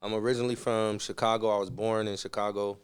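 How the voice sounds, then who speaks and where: A man from Southern California with a smooth, clear, fairly low voice. He is a guest in a casual interview, talking in a laid-back and slangy way. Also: little pitch movement, quick pace. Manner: laid-back, slangy, often says "you feel me". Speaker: interview guest, musician and entrepreneur. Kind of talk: casual conversational interview answers.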